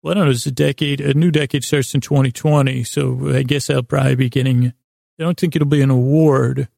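Creaky-voiced man rambling a bedtime monologue. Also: mid-range voice, fairly even intonation, no room sound, clean studio sound. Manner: meandering, halting, repeats and rephrases himself, gently goofy. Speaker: podcast host.

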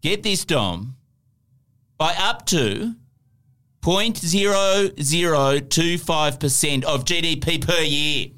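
A man with an Australian accent co-hosting satirical news banter, excitable and given to mock-grand emphasis. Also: on the high side, slow pace. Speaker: podcast co-host.

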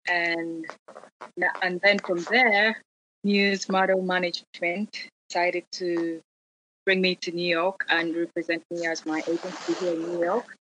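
Woman from uganda, lowish voice, just telling how she got started, steady. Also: unhurried, a little hiss or hum, rough recording. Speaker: fashion model, guest. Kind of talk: casual conversation.

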